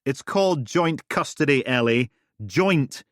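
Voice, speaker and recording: A man's voice: mid-range voice, lively intonation, unhurried, dry recording, clean studio sound, pitched above the performer's own voice, natural voice. A male narrator voicing an exasperated divorced father in an audiobook, pleading and frustrated. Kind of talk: audiobook character voice.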